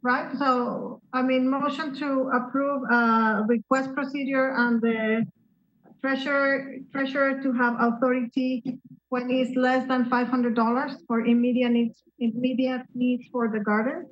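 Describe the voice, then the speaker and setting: High-voiced woman chairing a meeting. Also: little pitch movement, measured pace, muffled recording. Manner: informal, hesitant, many restarts. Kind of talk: casual meeting talk.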